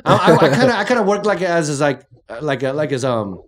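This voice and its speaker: Male podcast sidekick, notably high pitch, slangy, playful, chatty and informal. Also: big pitch swings, a little fast, a loud crowd. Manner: playful, slangy, repeats phrases. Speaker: podcast co-host. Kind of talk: casual, bantering podcast chat.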